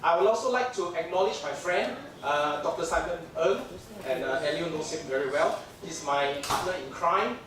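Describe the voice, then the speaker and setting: A man's voice: on the high side, lively intonation, normal speed, a crowd in the background. A man from Singapore with a Singaporean English accent giving a conference lecture on dentistry, chatty and joking with lots of fillers, jet lagged. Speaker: dental lecturer. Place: large lecture hall. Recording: echoey hall mic from far off, audience noise.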